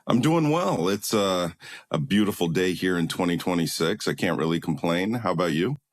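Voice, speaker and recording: Deep-voiced male podcast host. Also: lively intonation. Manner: friendly, halting, heavy on "you know". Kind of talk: casual interview hosting.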